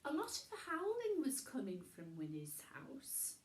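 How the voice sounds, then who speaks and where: A woman reading a children's bedtime story in an animated way, with chatty asides. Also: high-pitched, measured pace, roomy sound.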